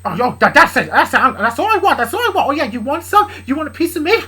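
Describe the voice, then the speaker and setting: A woman voicing a hot-headed, combative male imp who shouts and taunts. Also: fairly low pitch, animated, measured pace, husky, full character voice. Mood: taunting.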